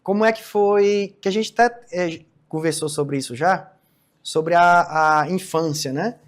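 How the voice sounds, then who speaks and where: A man's voice: on the high side, measured pace. A man in his forties hosting an interview in a deferential way, with frequent "né" tags and restarts. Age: 40s. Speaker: host. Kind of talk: casual interview.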